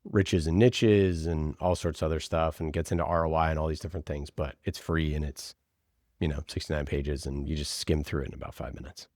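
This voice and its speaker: A man with a low, deep voice talks as a guest in a casual interview. He is emphatic and informal, often slipping into little quoted asides. The sound is close and dry. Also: steady tone, fairly quick, darkish tone, dry recording. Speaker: podcast producer, interview guest. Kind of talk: casual conversational interview answers.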